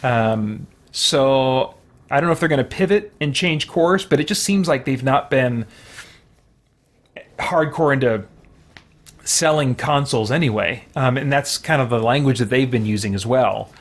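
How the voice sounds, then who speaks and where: A man live-streaming a game, talking casually and haltingly as he thinks aloud and reads out viewer comments. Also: mid-range voice.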